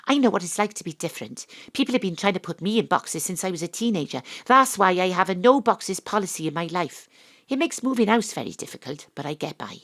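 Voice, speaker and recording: A woman with a Welsh accent playing a brash, independent investigator, narrating an audio drama in a dry, blunt manner. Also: on the low side. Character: wry, brash, independent-minded female investigator narrating her case.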